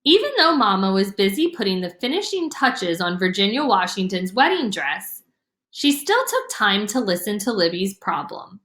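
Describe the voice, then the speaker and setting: A woman reading a children's storybook aloud as an expressive narrator. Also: medium pitch, moderate pace. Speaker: storybook reader. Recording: roomy, echoing room sound.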